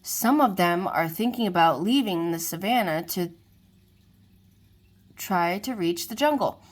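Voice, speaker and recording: A woman reading a children's storybook aloud to kids, lively and expressive. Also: lowish voice, unhurried. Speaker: storybook reader.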